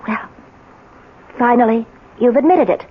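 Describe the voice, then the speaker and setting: An actress playing a suspicious, sardonic middle-aged wife in a radio drama, with a brittle, theatrical manner. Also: female voice, a little high, a little slow, slight background noise, lightly acted. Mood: bitter, sardonic. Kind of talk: 1970s radio drama acting. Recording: muffled, phone-like old radio recording.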